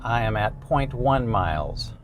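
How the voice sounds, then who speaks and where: A man talks casually as a gadget reviewer, running through a hands-on test from inside a car. His voice sits at an average pitch, with light vehicle noise behind it. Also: animated, measured pace. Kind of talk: casual narrated product test.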